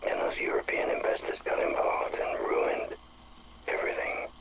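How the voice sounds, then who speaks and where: A man playing a troubled, principled small-town banker in a video game audio log, confessing haltingly in a hushed, anguished way. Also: whispered, close to an everyday voice, poor audio. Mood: regretful, bitter.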